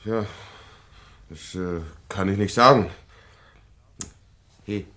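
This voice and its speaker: An actor playing a plain-spoken, helpful country local in a German radio play, talking in short colloquial phrases. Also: male voice, lowish voice, slow, bright, forceful tone. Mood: helpful, emphatic.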